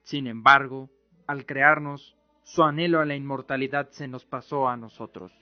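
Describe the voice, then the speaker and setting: A man narrating a scripted fantasy radio drama in a solemn, measured way. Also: medium pitch, fairly even intonation. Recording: dull lo-fi recording with music. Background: music bed.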